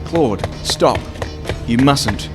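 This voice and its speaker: A man's voice: mid-range voice, lively intonation, extremely slow, loud music behind the voice. A man playing an agitated, indignant ally at a besieged base in an amateur sci-fi audio drama. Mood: alarmed.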